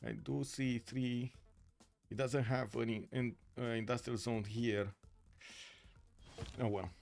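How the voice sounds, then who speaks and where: A man's voice: medium pitch, fairly even intonation, takes it slowly, vocal fry, darkish tone, soft background music. A man casting a strategy game tournament live, chatting casually with viewers and breaking the play-by-play with lots of fillers. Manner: casual, filler-heavy, talks to viewers by name. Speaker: tournament caster and streamer. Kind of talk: live game commentary while reading chat.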